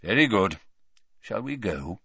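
An old wizard headmaster acted by a man reading a storybook, commanding yet calmly courteous. He speaks formally and with measured weight, his pitch moving expressively in a dry, close recording. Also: vocal fry, husky, dry recording, heavily acted. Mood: calm, light. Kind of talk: dramatised audiobook reading.

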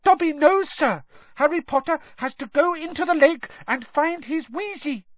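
A narrator voicing a small male house-elf servant, squeaky, earnest and deferential, in an audiobook style. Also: moderate pace, dull audio, natural voice. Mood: eager. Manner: speaks of himself in third person, deferential, broken grammar. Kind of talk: audiobook character voice.

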